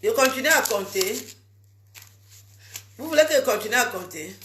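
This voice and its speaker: A woman's voice: fairly high pitch, lively intonation, normal speed, a bit bright, echoey room, a little hiss or hum. A woman vlogging casually to her fans, chatty and teasing, scolding her viewers with a cold. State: has a cold.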